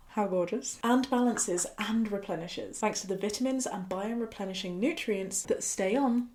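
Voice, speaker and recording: A woman hosting her channel in a casual chat, slightly nasal from a cold, bubbly and quick to laugh. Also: mid-range voice, a little mellow. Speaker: YouTube host. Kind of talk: casual chat reading a forum post.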